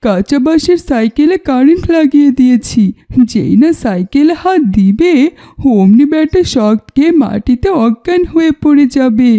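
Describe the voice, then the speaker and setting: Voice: sits high, animated, moderate pace, dark tone. A voice actor playing an earnest young village schoolboy in a cartoon, explaining things plainly. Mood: determined. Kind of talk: cartoon voice acting.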